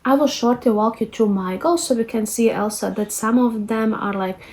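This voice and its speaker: A woman in her thirties with a Latvian accent, speaking English as a second language. She calmly walks through her planning notes, pausing often with fillers. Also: on the high side, slight background noise. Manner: soft-spoken, unhurried, many fillers and halting restarts. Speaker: lifestyle vlogger. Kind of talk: reflective planning walkthrough.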